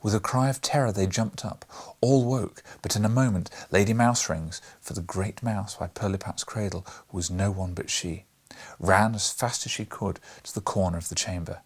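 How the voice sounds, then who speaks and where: A male audiobook narrator with a fairly low, husky voice. He reads a storybook in a measured, dramatic way.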